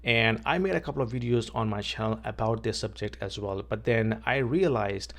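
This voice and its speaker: A man's voice: on the low side, normal speed, creaky. A man from Pakistan, a vlogger and realtor, talking plainly to camera outdoors, often repeating words and checking in with "okay". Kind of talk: casual talk to camera.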